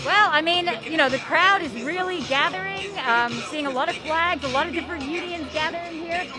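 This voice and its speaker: A woman's voice: very high-pitched, a little fast. A woman co-presenting live from a rally, describing the scene in a casual, chatty way. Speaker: co-presenter, university union member. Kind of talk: live on-air rally report. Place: outdoor street rally. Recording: music behind, outdoors.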